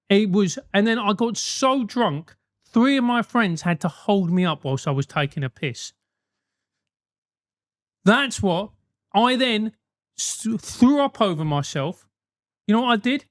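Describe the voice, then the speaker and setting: A man's voice: high voice, no echo, clean studio sound. A man with a South London accent, a host giving advice in a casual, emphatic rant, swearing freely.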